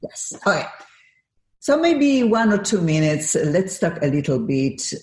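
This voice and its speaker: A woman's voice: lowish voice, sing-song, a little slow, a little mellow, echoey room. A woman from Poland with a Polish accent hosting a radio interview, talking effusively with some broken English. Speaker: radio show host. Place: radio studio.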